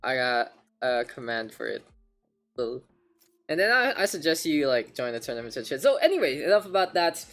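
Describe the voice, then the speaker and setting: Filipino man, esports caster, rambling chatty stream talk, mid pitch, faint music behind. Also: big pitch swings, normal speed, a bit bright. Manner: chatty, rambling, repeats himself. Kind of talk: casual live-stream casting.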